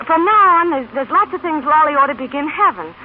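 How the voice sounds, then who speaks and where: Awkward plain-talking mom, stammers a lot, self-sacrificing, old radio drama, really tinny sound. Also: female voice, high voice, lively intonation, slight background noise. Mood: earnest, pleading. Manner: halting, stammering, ungrammatical. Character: plain-spoken, awkward, self-sacrificing working-class mother, pleading earnestly. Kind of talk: vintage radio drama acting. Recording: tinny band-limited old radio recording.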